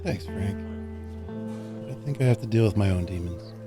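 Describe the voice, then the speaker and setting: Man acting as weary low-voiced detective, brooding. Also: normal speed. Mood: weary, defeated. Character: weary, bitter, vengeful male police detective with demons. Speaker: police detective. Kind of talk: gritty crime audio drama acting. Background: music.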